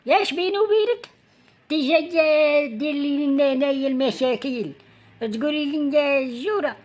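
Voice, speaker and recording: A woman playing a fierce older mother protecting her married daughter in a comic cartoon, scolding with a Moroccan accent. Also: sits high. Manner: scolding, threatening. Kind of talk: comic cartoon dialogue in dialect.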